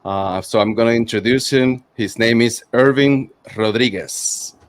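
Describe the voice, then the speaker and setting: A man's voice: medium pitch, unhurried, a little hiss or hum. A middle-aged man hosting a podcast in a casual interviewing style. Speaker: podcast host.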